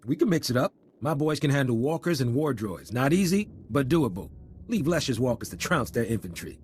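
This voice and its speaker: Game resistance officer: husky mid-range voice, blunt, confident, informal. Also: male voice, mid-range voice, sing-song, moderate pace, a little mellow. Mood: cocky. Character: cocky, blunt male resistance fighter officer. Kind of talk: video game voice acting.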